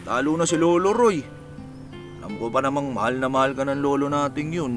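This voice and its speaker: A man's voice: mid-range voice, a little fast, music in the background, close to an everyday voice. A man playing a friendly, reassuring young fisherman in a Filipino radio drama, speaking casually.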